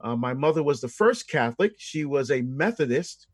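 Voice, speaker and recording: A man's voice: medium pitch, animated, moderate pace, breathy edge, dry recording, clean studio sound. A man with an American accent speaking as an interview guest, explaining things conversationally. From Barbados and the United States.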